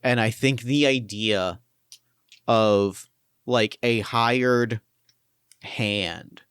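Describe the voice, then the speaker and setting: A man running a tabletop game as game master, thinking aloud and pitching story ideas with eager restarts. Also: extremely slow, a bit bright, smooth, dry recording, clean studio sound. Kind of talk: collaborative planning for a tabletop game.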